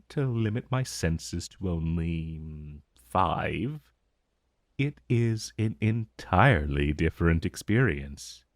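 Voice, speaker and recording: Man voicing a slow, deep, possessive ancient dragon. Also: a little mellow, no echo, studio quality. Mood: curious, haughty. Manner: slow, breathy, drawn-out, condescending endearments. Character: ancient male dragon familiar, possessive, menacing yet tender. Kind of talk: romantic fantasy ASMR roleplay.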